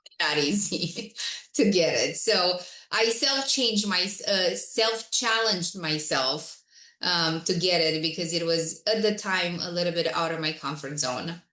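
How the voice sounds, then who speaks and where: A woman's voice: lowish voice, animated, unhurried, sharp tone, roomy sound. A woman from Romania, a guest telling her career story in an interview with frequent laughs. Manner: reflective, laughs often.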